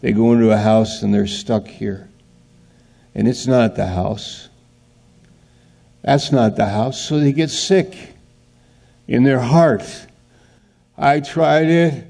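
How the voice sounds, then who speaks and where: A man's voice: medium pitch, slow pace, vocal fry, long pauses, mellow. A man preaching an earnest sermon who asks rhetorical questions and acts out scenes.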